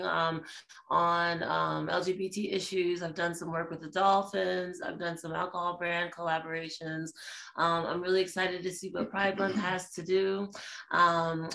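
A woman guest on a podcast, telling her story casually, with many fillers and a relaxed, chatty way of talking. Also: on the low side, measured pace, creaky. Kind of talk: casual interview chat.

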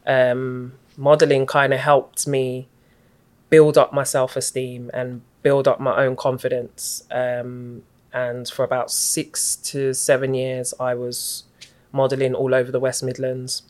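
A middle-aged woman, a guest in an interview, recounting her story in a reflective, even-toned, unhurried way. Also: very deep voice. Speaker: guest, community activist.

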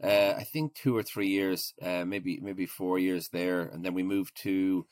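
Irish guy in his 40s, lowish voice, dry sound, chatting in an interview, kinda halting. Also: steady tone, darkish tone, no room sound. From Ireland. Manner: hesitant, halting, repeats words. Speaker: interview guest, restaurateur. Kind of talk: casual interview conversation.

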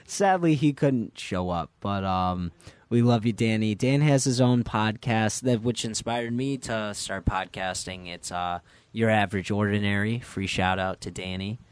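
A man's voice: on the low side, a little slow, smooth, no echo. A man around twenty-one co-hosting a podcast, rambling and laughing often in casual chat. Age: 20s. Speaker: podcast co-host.

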